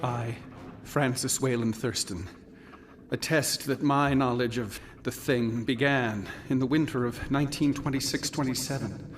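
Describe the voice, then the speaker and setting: An actor playing a formal, sombre gentleman giving testimony in an old-time radio drama. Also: medium pitch, fairly even intonation, measured pace, big-room sound, faint music behind, close to an everyday voice.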